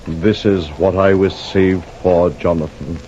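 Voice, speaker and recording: An actor playing a solemn carpenter returned from death, speaking in a strong, grave and reverent radio-drama manner. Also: male voice, steady tone, unhurried, mellow. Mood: solemn reverence. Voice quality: deep, strong. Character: deep, solemn carpenter sent back from death, gentle and holy. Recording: muffled lo-fi radio recording, clear noise.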